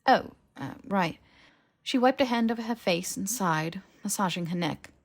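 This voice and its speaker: A woman's voice: mid-range voice, expressive, normal speed. Woman narrating a fiction audiobook.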